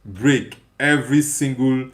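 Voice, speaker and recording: A man's voice: medium pitch, slow. A man hosting a casual livestream, reading out viewer comments and replying in an emphatic way, often repeating phrases as he thinks aloud. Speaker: livestream host.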